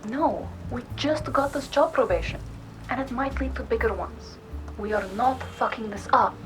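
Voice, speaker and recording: A woman's voice: on the high side, normal speed, close to an everyday voice. Woman as blunt, bossy handler over music. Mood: stern, insistent. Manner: blunt, commanding, sardonic. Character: brusque, bossy, foul-mouthed female job handler. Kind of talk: noir audio drama acting. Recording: music behind the voice.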